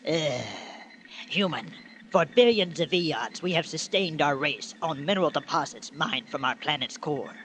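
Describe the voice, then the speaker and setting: Stilted, solemn alien leader. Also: male voice, on the high side, a little slow, bright, forceful tone, a little hiss or hum. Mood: cold, formal. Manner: stilted, precise, clipped commands. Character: formal, solemn male alien leader from dying planet. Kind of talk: radio drama acting.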